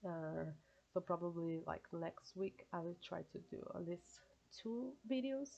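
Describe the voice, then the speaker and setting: A woman's voice: fairly low pitch, expressive, unhurried, creaky, dark tone. A woman from Brazil with a Brazilian Portuguese accent, chatting casually to her viewers with lots of fillers, and a stuffed nose from a cold. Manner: chatty, many fillers, self-correcting phrasing. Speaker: reaction video creator. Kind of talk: casual solo commentary.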